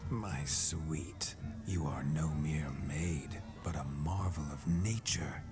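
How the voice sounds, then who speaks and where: Casting: a slow, low-voiced ghost-possessed seducer, wry and smug, with game music behind. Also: male voice, full character voice. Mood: flirting. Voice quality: deep and low, often near a whisper. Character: man possessed by a noble ghost, gruff, flirtatious, smug. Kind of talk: video game cutscene voice acting.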